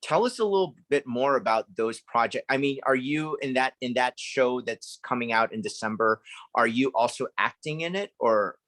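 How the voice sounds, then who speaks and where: A man's voice: medium pitch, lively intonation, normal speed, a bit bright, dry recording. A man hosting an interview, asking long, rambling, enthusiastic questions. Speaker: interview host.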